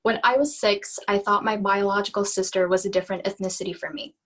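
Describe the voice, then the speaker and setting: A young woman from the Philippines, a native Tagalog speaker, delivering a prepared student presentation and poem earnestly and deliberately over a video call. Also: medium pitch, fairly even intonation, a bit bright. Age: young adult.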